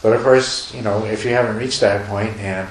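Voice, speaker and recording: A man giving a meditation talk to a retreat group, talking loosely with many restarts and soft chuckles. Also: on the low side, steady tone, normal speed. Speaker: meditation teacher. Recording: echoey room, faint noise.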